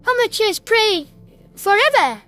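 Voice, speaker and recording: A man voicing an excitable little alien insectoid boy who exclaims eagerly in simple broken speech, in an audiobook-style sci-fi drama. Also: extremely high pitch, a little slow, faint music behind, pitched above the performer's own voice, full character voice. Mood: childlike certainty.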